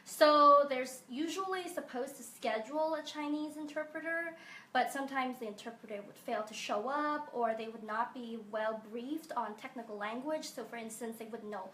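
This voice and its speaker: A young woman from Hong Kong giving measured, explanatory interview answers. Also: high-pitched, sharp tone, reverberant. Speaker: guest.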